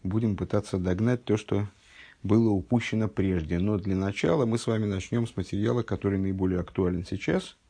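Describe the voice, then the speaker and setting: A man teaching a text study lesson, reading source passages slowly and then explaining them, just recovered from an illness. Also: fairly low pitch, mellow, husky. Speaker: religious teacher.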